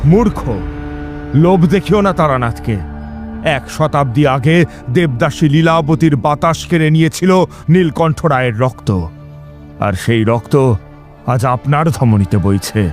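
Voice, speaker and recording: Horror audio drama: male actor as fearless tantric holy man, stern, ominous, slightly high voice, music underneath. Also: animated, measured pace, pauses often. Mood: stern rebuke. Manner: solemn, commanding, ominous. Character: stern, fearless male tantric Brahmin priest, grave and commanding.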